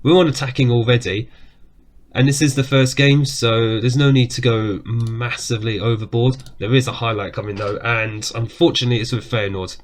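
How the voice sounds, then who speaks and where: A man's voice: medium pitch, fairly even intonation, normal speed. Chatty man with a cough. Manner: chatty, reacts aloud to match events. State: has a cough. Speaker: gaming YouTuber. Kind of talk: casual commentary over a management game.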